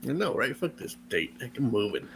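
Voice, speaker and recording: Rambling joking man, bright tone. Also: medium pitch, sing-song, slightly rough. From Texas. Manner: rambling, joking, quick to laugh. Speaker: podcast host. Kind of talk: casual profane banter.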